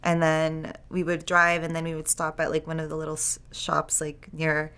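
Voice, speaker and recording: A woman's voice: sits low, monotone, normal speed, a bit bright, a little hiss or hum. A young woman raised in Ecuador and Canada, talking casually in an interview with lots of "like" and "uh". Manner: casual, full of "like" and "uh". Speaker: documentary interviewee. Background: guitar music.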